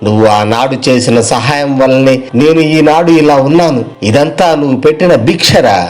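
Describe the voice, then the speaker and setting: A man's voice: mid-range voice, a little fast, darkish tone, close to an everyday voice. A man voicing a wealthy, boastful businessman in a moral story, effusive and familiar, with theatrical false sympathy. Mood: effusive, proud. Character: boastful, two-faced wealthy businessman friend, feigning sympathy.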